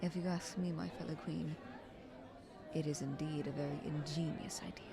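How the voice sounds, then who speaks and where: A woman playing a scheming, flattering queen in an audio roleplay, speaking in a haughty, ornate courtly manner. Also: deep voice, moderate pace, a crowd in the background. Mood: boastful. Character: visiting queen, scheming, flattering, condescending royal negotiator.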